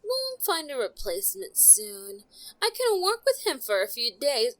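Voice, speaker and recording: A headstrong young adventurer in a fantasy audio drama, acted by a woman. The voice is high and very animated. The delivery is outspoken and indignant. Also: measured pace, heavily acted. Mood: grumbling. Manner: emphatic, indignant.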